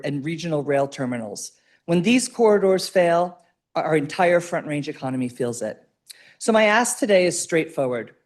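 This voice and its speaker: A woman's voice: low voice, a little mellow. Woman reading a formal public comment. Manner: formal, reading a prepared statement. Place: public meeting room.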